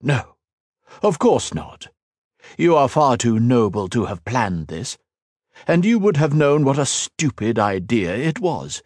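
A man's voice: mid-range voice, sing-song, slow pace, a little mellow, dry recording, clean studio sound, voice pushed up. A male narrator voicing a smug, cruel emperor villain who mocks and taunts his captive in a grandiose way. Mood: scornful.

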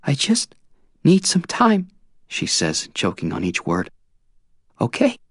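A man voicing a stubborn sixteen-year-old girl, hurt and defiant, in a raised pitch. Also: expressive, moderate pace, husky, no room sound, clean studio sound. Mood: tearful. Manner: raised pitch, tearful and pleading.

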